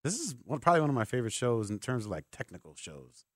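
A man's voice: medium pitch, big pitch swings, fast talker, no room sound. A man hosting a sports radio show in a casual, conversational, loosely rambling way, close on a studio microphone. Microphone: close broadcast microphone.

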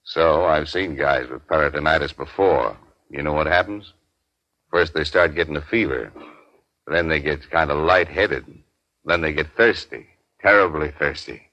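An actor playing a mocking, needling police detective in a 1940s radio crime drama, sarcastic and taunting. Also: male voice, rumbling low, normal speed, pushed and bright, full character voice. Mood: taunting, sure. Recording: dull 1940s radio recording.

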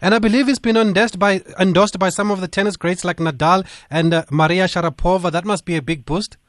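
A man hosting a radio interview, introducing his guest and asking measured questions. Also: sits high, steady tone, fairly bright tone, dry recording, studio quality. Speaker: radio host.

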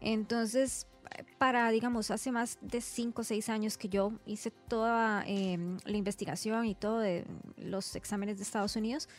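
A woman's voice: medium pitch, fairly even intonation, moderate pace, faint music behind. A woman with a Costa Rican accent talks conversationally as a radio interview guest, explaining things and often checking back with small tags. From San José, Costa Rica. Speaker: interview guest, resident doctor.

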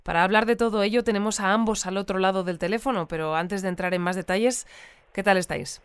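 Actress doing a smooth radio news lady for an audio drama, composed and articulate, super dry studio sound. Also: mid-range voice, no room sound, close to an everyday voice. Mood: composed, inquiring. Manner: measured, articulate. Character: composed female radio newscaster interviewing guests. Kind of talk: scripted radio news interview.

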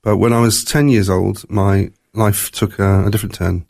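A man's voice: lowish voice, fairly even intonation, moderate pace, gravelly, darkish tone. A man with a faint Scottish accent telling his personal story as an interview guest, in a reflective, conversational way. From born in Scotland, moved to South Africa at three.

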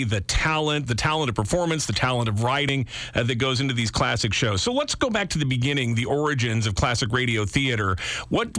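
A man's voice: medium pitch, fairly bright tone, dry recording. An American man hosting a conversational radio interview, wordy and feeling his way through long questions.

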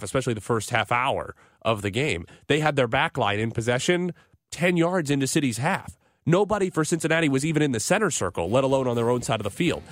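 A man's voice: medium pitch, dry recording. Dry, close-miked man hosting sports radio. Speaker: sports radio show host. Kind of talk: live sports radio hosting and soccer analysis.

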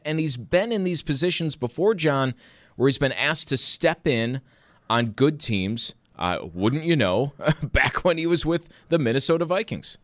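Male sports interviewer, medium pitch, loose conversational phrasing with emphatic bursts, very dry recording. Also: fairly bright tone, dry recording. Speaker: sports talk host interviewer.

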